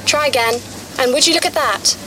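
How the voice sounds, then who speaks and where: Comic ad: actress as a taunting checkout machine, high voice, falsely sweet, over noisy background. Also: female voice, fairly quick, natural voice. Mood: smug taunting. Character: smug, mocking supermarket self-checkout machine with a female voice. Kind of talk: comedic advert acting.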